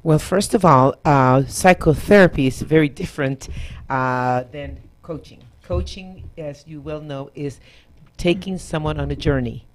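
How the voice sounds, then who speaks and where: A woman's voice: sits low, a little slow, hardly any pauses, slight background noise. A woman from Costa Rica who trains life coaches, a guest on a talk show, speaking in a confident, upbeat way. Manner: confident, upbeat, laughs easily. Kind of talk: talk show guest explaining her work.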